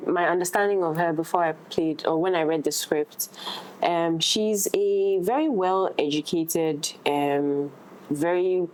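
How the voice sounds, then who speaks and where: A woman talking casually as an actress in a roundtable discussion, halting and repeating words for emphasis. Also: low voice, a little hiss or hum, rough recording. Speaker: actress in an audio drama.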